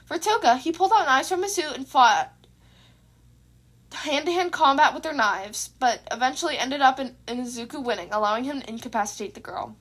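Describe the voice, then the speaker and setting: Young woman w a high voice doing a podfic, casual and expressive, kinda informal. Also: brisk. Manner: informal, sweary. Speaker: fan fiction writer and narrator. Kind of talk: expressive story narration and casual outro.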